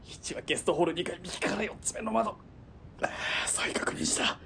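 A man's voice: high voice, lively intonation, normal speed, creaky, a bit bright, a little hiss or hum, full character voice. Strained, stoic male military spotter played by an actor. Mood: strained, resolute. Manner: clipped, reporting in military terms. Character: wounded, stoic military spotter, dutiful and exact. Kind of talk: Japanese audio drama acting.

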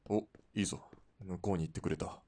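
Actor as a very deep-voiced, laconic gangster sniper. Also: male voice, fairly even intonation, fast talker, warm tone, lightly acted. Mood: hushed, focused. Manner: offhand, rough masculine speech. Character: laconic, easygoing yakuza sniper disguised as military policeman. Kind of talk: Japanese radio drama acting. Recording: clear vehicle and ambience noise.

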